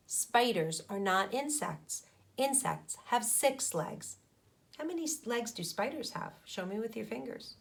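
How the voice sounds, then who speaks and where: Slow-paced woman teacher reading aloud to children. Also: medium pitch, fairly bright tone. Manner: didactic, pauses to question the young listeners. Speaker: elementary school teacher. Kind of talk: children's read-aloud with explanatory asides.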